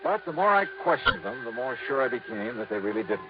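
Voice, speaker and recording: Wry, animated hardboiled investigator. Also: male voice, medium pitch, fast, music in the background. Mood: narrating crisply. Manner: wry, punchy. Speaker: lead and narrator. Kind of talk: 1950s radio drama acting and narration. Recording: muffled, noisy old radio recording.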